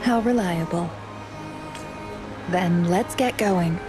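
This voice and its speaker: A woman voicing a composed, courteous young strategist in a fantasy game, speaking in measured, formal phrases. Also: fairly low pitch, over music. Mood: calm, purposeful. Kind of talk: scripted video game dialogue.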